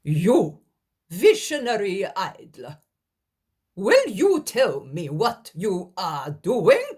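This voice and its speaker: A woman's voice: lowish voice, animated, very slow, a little mellow, heavily acted. A woman doing a harsh, domineering villain. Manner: commanding, scornful. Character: harsh, domineering older man, furious villain.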